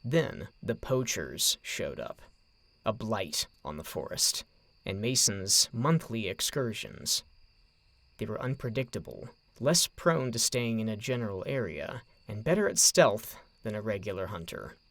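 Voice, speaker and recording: A man narrating a scripted story in a measured, dramatic way, with deliberate phrasing. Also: on the low side, sing-song, fairly bright tone.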